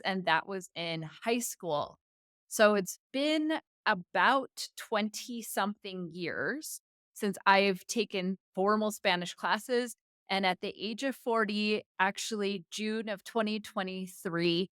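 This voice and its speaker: A woman's voice: medium pitch, a bit bright, clean tone, no room sound, studio quality. A woman in her forties from the United States, whose first language is Tagalog, talking candidly and reflectively to camera about her own life. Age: 40s. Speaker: vlogger. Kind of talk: casual personal talk to camera.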